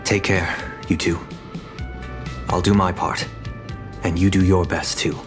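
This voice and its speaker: A man voicing a grave, courteous young nobleman in a video game, speaking formally and with measured solemnity. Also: low voice, flat delivery, over music. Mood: resolute.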